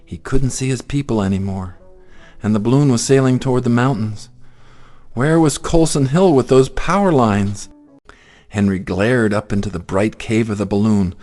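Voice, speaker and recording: A man narrating a children's story in an expressive, theatrical way. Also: mid-range voice, normal speed, soft background music. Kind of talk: dramatic children's story reading.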